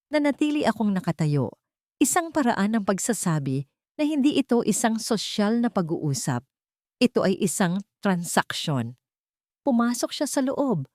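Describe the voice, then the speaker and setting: A woman's voice: medium pitch, darkish tone, no room sound, clean studio sound. A woman reading a scripted dramatic story in the first person, as an audiobook narrator.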